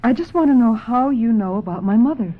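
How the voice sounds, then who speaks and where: A woman's voice: fairly high pitch, lively intonation, brisk. An actress playing a vulnerable, troubled young film actress in a radio mystery drama, hesitant and earnest as she protests. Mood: protesting. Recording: muffled, phone-like old radio sound.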